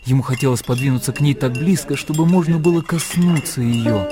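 Narrator for radio drama: male, medium register, measured and expressive literary storytelling, set over music. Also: mid-range voice.

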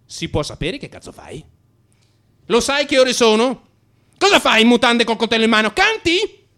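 A woman's voice: fairly high pitch, very animated, moderate pace, fairly bright tone, voice pushed up. A man voicing an exasperated, blunt wife who scolds and swears in a radio drama. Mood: angry scolding.

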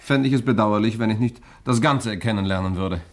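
An actor playing a polite, curious and composed journalist in a 1960s German radio drama. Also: male voice, on the low side, a little fast, close to an everyday voice. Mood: calm, curious. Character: polite, curious male journalist, calm and willing.

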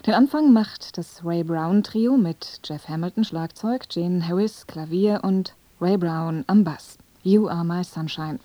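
Mellow, lowish-voiced German woman radio announcer. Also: unhurried, few pauses, dry recording. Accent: standard German from Germany. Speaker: radio music presenter. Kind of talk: scripted programme announcement.

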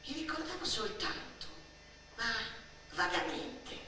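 A middle-aged woman delivering a theatrical storytelling monologue, expressive and emphatic, with dramatic pauses. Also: fairly high pitch. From Italy. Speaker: stage actress and storyteller. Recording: very echoey, distant mic, faint noise.

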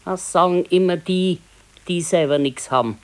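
An actress playing a practical, contented older wife in a naturalistic radio play, speaking matter-of-factly with a Bavarian accent. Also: female voice, fairly low pitch, normal speed, lightly acted. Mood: resigned contentment. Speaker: radio drama actor. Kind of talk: naturalistic 1970s radio play dialogue in dialect.

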